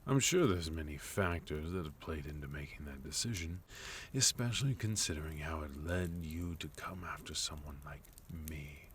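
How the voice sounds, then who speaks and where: A man playing a sophisticated, composed villain in an intimate ASMR roleplay, speaking in measured, courteous phrases with soft menace and deliberate pauses. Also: very deep voice, lively intonation, a little hiss or hum. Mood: courteous, probing. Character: sophisticated, composed male villain, leader of enemy organization.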